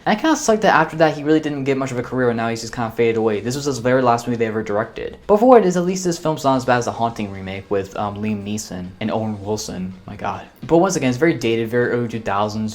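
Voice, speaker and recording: Fast-talking man, casual reviewer. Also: medium pitch. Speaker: video reviewer and host. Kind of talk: casual opinionated movie ranking commentary.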